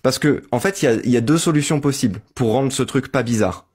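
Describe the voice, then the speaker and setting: A man's voice: mid-range voice, quick pace, a little mellow, breathy edge. A man with a mid-range voice argues patiently in an online voice-chat debate. He builds his point step by step and keeps asking the other side to agree. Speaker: debate host.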